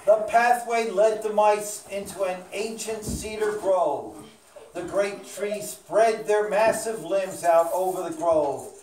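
Slow, echoey puppet show narrator. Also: medium pitch, a little mellow. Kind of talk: scripted storybook narration. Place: small indoor meeting room with audience of children. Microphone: distant camera microphone. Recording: echoey room, distant, background hiss.

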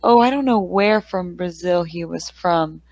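Young woman, texas accent, chatty tutor, fillers, lowish voice, dry headset sound. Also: a little slow, darkish tone, no echo. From Texas, United States. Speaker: English tutor. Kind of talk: casual conversation. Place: small room at home. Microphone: headset mic on a video call.

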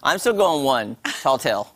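A man's voice: medium pitch, sing-song, normal speed, a bit bright. A man co-hosting a morning TV show, joking and rambling in casual banter, recorded on a lapel mic in a studio. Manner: playful, rambling, self-deprecating. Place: TV studio set.

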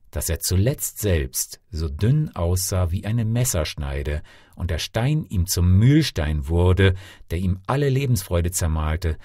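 A man's voice: lowish voice, mellow, slightly rough, no room sound, studio quality. A man reading a fairy tale as an audiobook narrator, theatrical and carefully articulated in his delivery.

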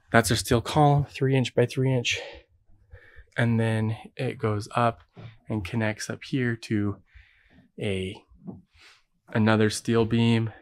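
An American man giving a casual, matter-of-fact walkthrough of a building job, explaining as he goes. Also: on the low side. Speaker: builder presenting a project. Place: indoors, large unfinished basement.

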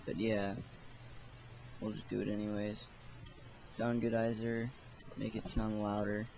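A man narrating a software tutorial in a casual, offhand, unhurried way. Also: on the low side, completely flat delivery, creaky, slight background noise, poor audio. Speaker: tutorial host.

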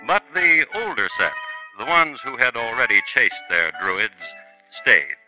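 A man's voice: mid-range voice, very animated, unhurried, poor audio. A man narrating a 1950s radio crime drama in a dry, ironic storytelling manner. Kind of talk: wry scripted radio narration. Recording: vintage radio broadcast with music.

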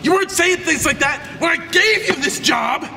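A man's voice: extremely high pitch, expressive, moderate pace, creaky, pushed and bright, reverberant, far from the mic, faint music behind, full character voice. A man playing a vain, explosive washed-up movie actor in a mockumentary comedy, with a pompous, indignant manner. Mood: angry, sarcastic. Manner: pompous, indignant, self-important.

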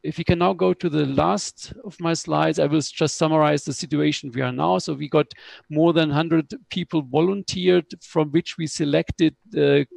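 Hoarse middle-aged scientist on a headset call, gravelly, flat delivery, very dry sound. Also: male voice, on the high side, no echo. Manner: measured, explanatory, slightly halting. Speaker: biotech scientist presenting. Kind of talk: conference panel presentation. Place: home room with window blinds. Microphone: headset on video call.

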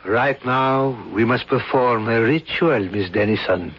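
Man playing a formal condescending grand duke, 1970s radio drama, mid-pitched, muffled old broadcast sound. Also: measured pace, slight background noise, close to an everyday voice. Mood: commanding. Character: suave foreign male grand duke, courtly and insistent. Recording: dull vintage radio broadcast.

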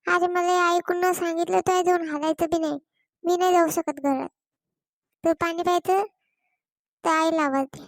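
A woman's voice: very high voice, fairly even intonation, normal speed, long pauses, dry recording, clean studio sound. A woman voicing a put-upon young elder sister in a cartoon, complaining and grumbling. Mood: weary, reluctant. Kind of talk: Marathi cartoon comedy voice acting.

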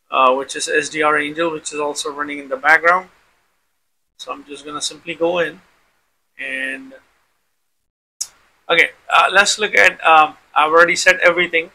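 A man's voice: a little high, sharp tone, a little hiss or hum, rough recording. A man hosting an informal tech demonstration, explaining step by step and often repeating himself. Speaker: tutorial host.

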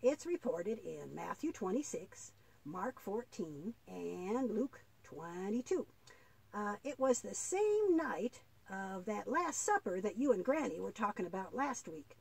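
Woman voicing a husky old grandpa puppet. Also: medium pitch, very animated, unhurried, darkish tone, exaggerated voice. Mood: patient, earnest. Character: gentle old grandfather puppet, patient and devout. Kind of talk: children's puppet play, teaching.

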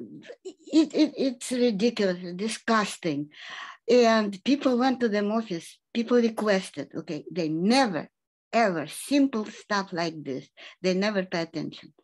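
A woman whose first language is Russian, asking a long, emphatic question in halting English on a video call. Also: mid-range voice, expressive, darkish tone, low-quality recording. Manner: halting non-native English, emphatic complaints. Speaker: audience member asking a question.

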